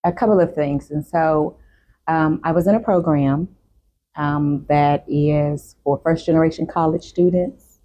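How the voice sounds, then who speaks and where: A woman's voice: low voice, fairly even intonation, a little slow, warm tone. A woman guest on a talk show, telling her personal story in a reflective, candid way. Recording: dull sound with background noise.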